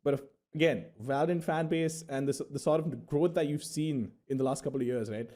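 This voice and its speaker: A man hosting an interview show, chatty and quick, mixing Hindi and English as he introduces his guest. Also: a little high, steady tone, mellow. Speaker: interview host.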